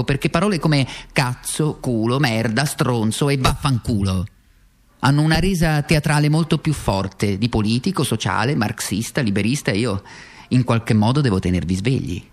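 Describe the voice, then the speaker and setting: An actor playing a bitterly sarcastic, worn-out communist wage earner in a theatrical, ironic political radio monologue. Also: male voice, mid-range voice, lightly acted. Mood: sardonic, deliberate. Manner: theatrical, ironic, swinging from deliberate to frantic.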